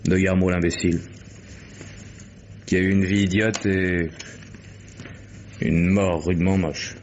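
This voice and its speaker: A world-weary male private detective with a low voice. He is sardonic and deliberate, laying things out with dramatic pauses. The sound is that of a muffled vintage radio mystery. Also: level tone, a little mellow, a little hiss or hum. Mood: bitter, weary. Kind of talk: 1950s French radio mystery acting.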